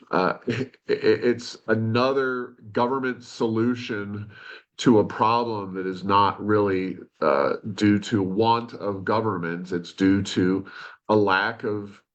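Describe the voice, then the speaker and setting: A man's voice: fairly low pitch, a bit bright. A man co-hosting a conversational policy talk show, explaining points at length with frequent asides. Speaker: podcast host.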